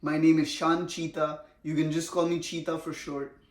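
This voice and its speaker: A man's voice: a little high, level tone, moderate pace. A young man from India, chatting casually in a storytime vlog and saying bro and man a lot. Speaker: vlogger. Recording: echoey room.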